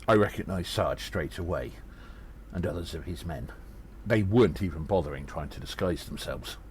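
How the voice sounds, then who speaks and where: A man playing a small, agile adventurer who recounts battles in an audio drama, earnest and dramatic, with halting pauses. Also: low voice, a little mellow, a little hiss or hum. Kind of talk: first-person audio drama narration.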